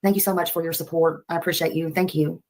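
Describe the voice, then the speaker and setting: A woman's voice: low voice, steady tone, quick pace, a little mellow. A woman from Nashville with a Southern US accent, hosting a casual livestream in a folksy, rambling, polite way. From Nashville, United States. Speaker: livestream host.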